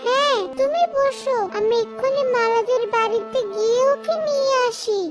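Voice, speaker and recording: Woman as an anxious, high-voiced village mother. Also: fairly even intonation, moderate pace. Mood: worried. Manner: fretful, questioning. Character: anxious village mother, stern but caring. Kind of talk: children's cartoon story acting. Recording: loud music behind the voice.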